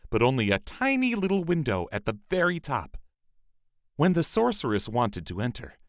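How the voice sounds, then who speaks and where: A man narrates a fairy tale in a measured storybook manner. His mid-range voice moves expressively up and down, and the recording is dry. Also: mid-range voice, a bit bright, dry recording.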